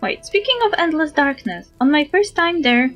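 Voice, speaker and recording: A woman's voice: sits high, lively intonation, moderate pace, fairly bright tone, highs cut off. A woman from Europe livestreaming a game, reading dialogue aloud and chatting with her viewers. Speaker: livestreamer, VTuber. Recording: music behind the voice.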